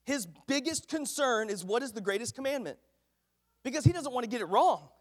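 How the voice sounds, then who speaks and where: Stuffy-voiced pastor, high voice. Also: male voice, animated, a little fast. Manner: folksy, earnest preaching. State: congested head cold. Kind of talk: sermon. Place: church sanctuary. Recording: echoey church hall.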